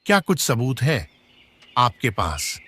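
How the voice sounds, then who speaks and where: Casting: male actor as doubtful tiger subject, deferential but firm, very lively intonation, cartoon, faint noise. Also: mid-range voice, slow, full character voice. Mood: questioning. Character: tiger subject, doubtful spokesman of jungle animals. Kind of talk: Hindi animated moral story dialogue.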